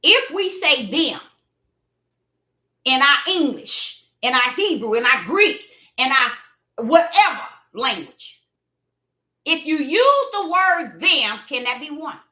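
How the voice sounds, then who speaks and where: A woman preaches on a livestream, repeating her points and building to fervent cries. Her voice is bright and high, and it moves freely up and down. She speaks slowly, and the room around her echoes. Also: big pitch swings. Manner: preachy, repetitive, rising to fervent shouts. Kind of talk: livestream sermon.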